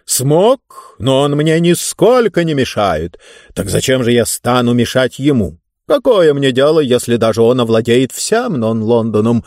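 Man acting a pompous, greedy, drawn-out tyrant. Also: medium pitch, big pitch swings, darkish tone, no echo, clean studio sound. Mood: scornful, indignant. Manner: theatrical, drawn-out, emphatic delivery. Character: pompous, greedy tyrant with a huge mouth, savouring words. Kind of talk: audiobook character voice.

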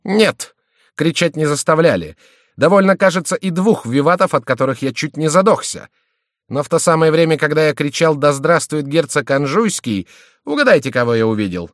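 Man putting on an outraged young nobleman, shrill and high. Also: animated, fairly quick, few pauses, no echo, clean studio sound, close to an everyday voice. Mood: exasperated. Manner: indignant, exclamatory, theatrical. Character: outraged, battered young nobleman, a king's favourite, loudly complaining. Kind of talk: audiobook character voice.

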